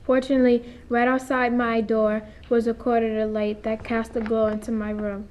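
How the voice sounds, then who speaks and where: A woman's voice: on the high side, flat delivery, normal speed, a little mellow, slight background noise. A young teenage girl, a middle school student, giving a dramatic reading aloud. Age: young teen, middle school student. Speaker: student reader.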